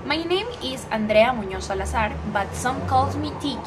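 A teenage girl with an Ecuadorian Spanish accent speaks in a fairly high voice, stumbling cheerfully through English that is not her first language. She talks to a phone held at arm's length in a small room, and a steady hiss of noise sits under the recording. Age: teens. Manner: halting, cheerful, searching for English words. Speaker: student vlogger. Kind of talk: casual self-introduction to camera. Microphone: phone front camera at arm's length.

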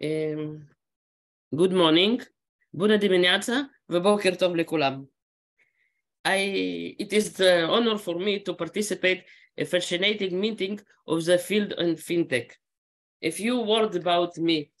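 A woman's voice: on the low side, fairly even intonation, moderate pace. A middle-aged woman from Moldova who lives in Israel, speaking non-native English, reads a prepared webinar welcome and stumbles over words. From Moldova, long living in Israel. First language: not English. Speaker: chamber of commerce chairwoman. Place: indoor room, on a video call.

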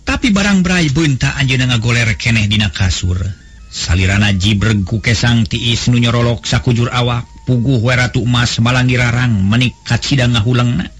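Husky Sundanese man telling a folk tale. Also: mid-range voice. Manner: melodic, unhurried storytelling cadence. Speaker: storyteller. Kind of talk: traditional folk tale narration. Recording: faint background music.

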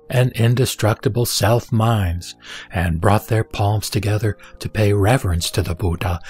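A man reading a Buddhist scripture as formal, deliberate, solemn narration. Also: lowish voice, a little mellow.

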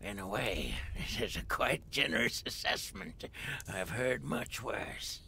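Slow, gravelly old mystic. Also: male voice, on the low side, expressive, pushed and bright, slight background noise, heavily acted. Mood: wry disappointment. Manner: slow, theatrical, archaic wording, drawn-out phrases. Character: sly, mysterious old male mystic cult leader. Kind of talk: fantasy video game voice acting. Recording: music behind the voice.

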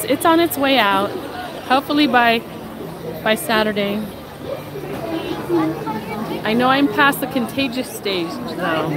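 A woman's voice: sits high, noisy background. A woman with a slightly nasal voice, getting over a cold, chatting casually and laughing often as she walks through a crowded theme park, filming with a handheld phone. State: recovering from a cold, a little nasal. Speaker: vlog host. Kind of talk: casual live-stream chat while walking. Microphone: handheld phone camera while walking. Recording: loud crowd and park music behind. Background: crowd chatter and park music.

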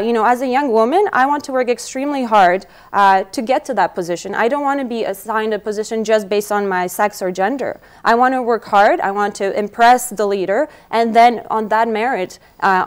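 Young woman, twenties, non-native English, earnest political guest, smooth clear tone, lapel mic in studio. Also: mid-range voice. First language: not English. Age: 20s. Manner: earnest, eager, fluent with fillers. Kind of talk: studio interview answers.

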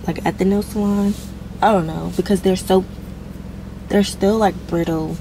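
Young American woman, lifestyle vlogger, casual chat, gravelly fry, faint ambient noise behind her. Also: medium pitch, steady tone, normal speed. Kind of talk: casual vlog chat to viewers.

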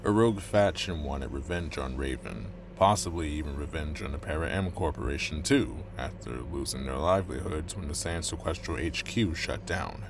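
Very deep-voiced, menacing man acting as a corporate agent. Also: noisy background. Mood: calculating. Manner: controlled, menacing, deliberate. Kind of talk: dramatic audio roleplay acting.

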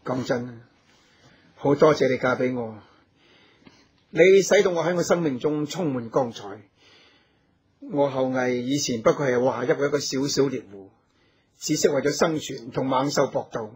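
A man acting a devoted, gentle hunter hero with a husky voice in a Hong Kong Cantonese radio drama. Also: medium pitch, normal speed, long pauses. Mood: tender, earnest. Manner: gentle, solicitous, earnest. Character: tender, devoted hunter hero and new husband.

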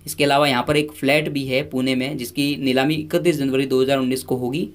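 A man's voice: mid-range voice, flat delivery, quick pace, background noise. A male presenter giving an informal news voice-over, talking conversationally with many fillers and restarts. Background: background music.

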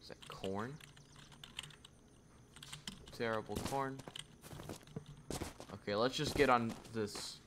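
A man giving live commentary over his own gameplay, talking to himself and shouting at enemies as things happen. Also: mid-range voice, slow pace, pauses often, background noise. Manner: excitable, talks to himself and at enemies. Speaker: gaming YouTuber.

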